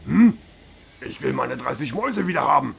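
A man playing a thuggish robber with a rifle, with a gravelly, sneering voice. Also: mid-range voice, big pitch swings, moderate pace, full character voice. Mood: threatening. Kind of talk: fan audio drama acting.

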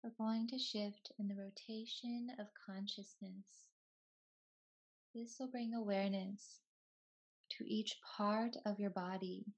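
A woman leads a guided relaxation as a yoga teacher. Her delivery is soothing and very slow, in short phrases separated by long pauses. The pitch stays quite even, and the recording sounds slightly dull. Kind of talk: slow guided meditation narration.

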